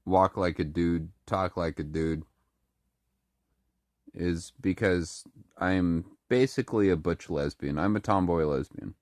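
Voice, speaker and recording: Vlogger, speaks with a very low, deep voice, slightly sick and clearing the throat, halting delivery full of restarts, dry close sound. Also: male voice, darkish tone, dry recording. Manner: halting, many restarts and fillers. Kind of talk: casual monologue to camera.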